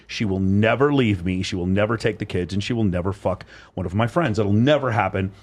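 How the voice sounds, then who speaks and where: Fast-talking husky male podcast host. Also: on the low side, a little mellow. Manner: fast, emphatic, repeats words as he restarts. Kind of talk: casual panel discussion.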